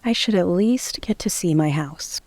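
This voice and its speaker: A woman's voice: on the low side, animated, moderate pace, a little mellow. A woman acting a fed-up, frightened woman in a horror audio drama, muttering in exasperation. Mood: grumbling, fed up.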